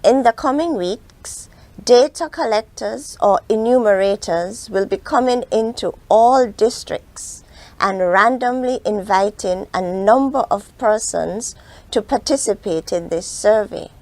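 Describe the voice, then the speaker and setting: Creaky-voiced woman. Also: medium pitch, unhurried, darkish tone, no echo. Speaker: medical official, commission chair. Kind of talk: prepared public statement.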